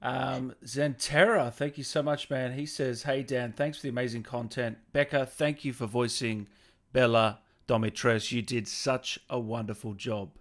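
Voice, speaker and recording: A man's voice: mid-range voice, fairly even intonation, normal speed. A man hosting a casual online interview, chatting with his guest and reading out viewer comments. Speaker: interview host, livestreamer. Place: remote online video call.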